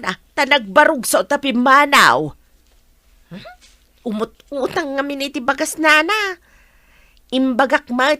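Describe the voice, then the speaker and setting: Woman playing a high, animated, gossipy Ilocano village mother. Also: no echo, full character voice. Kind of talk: Ilocano radio comedy drama acting.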